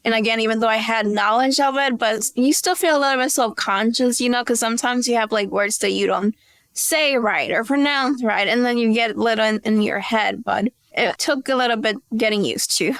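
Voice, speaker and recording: A young woman from the Dominican Republic, speaking English as a second language, giving relaxed, thoughtful interview answers as a guest. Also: a little high, normal speed, dry recording. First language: Spanish. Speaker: guest, beauty brand founder.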